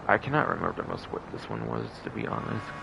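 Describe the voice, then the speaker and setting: A man's voice: lowish voice, fairly quick, creaky. A man giving casual, rambling livestream commentary on a game, often repeating himself, in a noisy recording. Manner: rambling, repeats stock phrases over and over. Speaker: livestream host.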